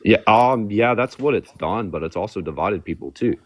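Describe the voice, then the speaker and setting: A man calls in and answers hesitantly, leaning on fillers. His voice is low and the sound is dry. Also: animated, moderate pace, no room sound. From Washington State, raised in Australia. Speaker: caller.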